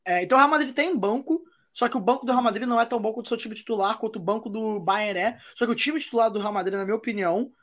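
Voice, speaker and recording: A woman's voice: a little high, racing, few pauses. A Brazilian woman hosting a podcast, chatting about football in a loose, wry way with many restarts. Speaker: podcast host. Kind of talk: casual football chat.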